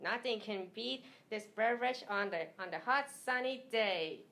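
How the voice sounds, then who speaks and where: A woman playing a hot, thirsty woman in an amateur scripted radio commercial, speaking deliberately. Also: a little high, fairly bright tone, a little hiss or hum. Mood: stagey, sweltering.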